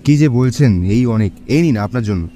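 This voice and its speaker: A man's voice: mid-range voice, animated, moderate pace, warm tone, slight background noise, close to an everyday voice. An actor playing a curious, friendly man chatting conversationally in an audio story. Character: curious, friendly male companion of the narrator.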